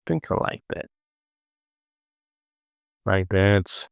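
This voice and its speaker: A man giving an informal software tutorial as a voice-over, often repeating words and short phrases. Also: deep voice, expressive, very slow, creaky, clear, resonant tone, no echo, clean studio sound. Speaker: 3D modelling tutor. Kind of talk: casual screen-recorded software tutorial.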